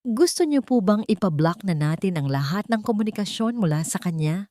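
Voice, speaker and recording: A woman's voice: medium pitch, expressive, fairly quick, warm tone, no echo, studio quality, natural voice. Worried, deferential male lawyer read by a woman. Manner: polite, concerned.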